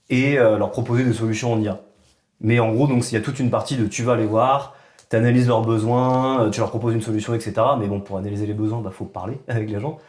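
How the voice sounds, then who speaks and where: A man co-hosting a podcast, telling a personal story in relaxed, casual conversation full of fillers. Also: medium pitch, roomy sound. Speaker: podcast co-host. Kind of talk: casual chat.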